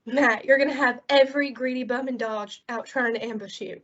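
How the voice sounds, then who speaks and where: Woman acting a high-voiced, outspoken frontier woman. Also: steady tone, fairly quick, a bit bright. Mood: indignant. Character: outspoken, concerned frontier woman, loyal friend. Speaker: actor in a radio western. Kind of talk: old-time radio drama acting. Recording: dull, lo-fi audio.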